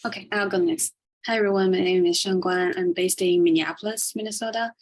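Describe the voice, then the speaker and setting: A woman's voice: lowish voice, steady tone, fairly quick, no room sound. A woman giving a casual self-introduction as a participant on a group call. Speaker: coding program participant.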